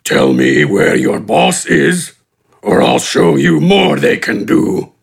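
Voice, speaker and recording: A man voicing a gruff, menacing giant brawler with a gravelly, husky voice, speaking slowly and threateningly in an audiobook. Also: mid-range voice, huge pitch swings, heavily acted. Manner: slow, threatening, deliberate.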